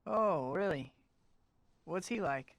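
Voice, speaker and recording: A wisecracking young superhero. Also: male voice, high voice, animated, takes it slowly, gravelly, no echo, highs cut off, full character voice. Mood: wry. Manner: quippy, dry.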